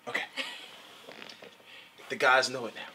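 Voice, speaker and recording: A man's voice: mid-range voice, fairly even intonation, a little slow, a little hiss or hum, low-quality recording. A man hosting a casual talk show, teasing his guest and asking frank questions. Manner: playful, teasing, jumps to loud impressions of friends.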